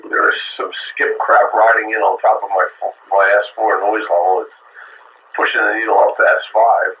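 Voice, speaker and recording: A man's voice: on the low side, flat delivery, moderate pace. A man chatting casually over a two-way CB radio, explaining technical matters with hesitant phrasing. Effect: two-way radio transmission. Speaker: radio hobbyist. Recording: dull, noisy CB radio reception. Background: radio static.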